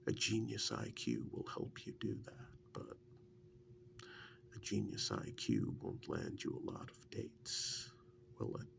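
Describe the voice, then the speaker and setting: A man playing a fondly reminiscing, teasing male partner and former captive in an ASMR role-play, in a breathy half-whisper with gravelly fry and a halting, conversational delivery. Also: lowish voice, background noise, full character voice. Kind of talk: soft-spoken ASMR role-play monologue.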